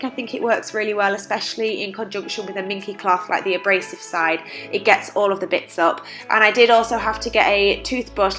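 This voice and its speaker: A woman's voice: mid-range voice, fairly bright tone. A young woman with a stuffy, congested voice from a cold, chatting casually in a voice-over. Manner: chatty, with frequent "like" fillers. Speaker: cleaning vlogger.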